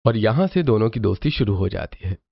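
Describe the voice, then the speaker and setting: A man's voice: on the low side, very animated, a little fast, gravelly, muffled recording, slight background noise. A man reading scripted narration for a cartoon family story.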